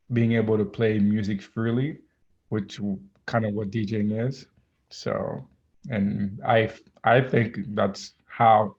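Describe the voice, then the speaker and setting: A low, gravelly-voiced man talks easily as a guest, drifting through ums and restarts. His voice comes through a dull, lo-fi recording. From Haiti. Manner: relaxed, many ums and restarts. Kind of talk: casual interview chat. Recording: dull lo-fi audio.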